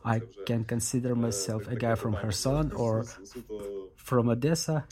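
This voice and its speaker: Measured man over crowd noise. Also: medium pitch. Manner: measured, with restarts and repeated phrases. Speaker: interviewee's voice in English translation. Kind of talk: translated interview answers.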